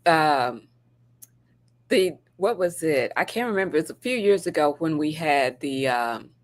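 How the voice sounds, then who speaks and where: Lower-pitched woman, casual show co-host. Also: animated, unhurried. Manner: informal, conversational storytelling. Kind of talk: casual morning show chat.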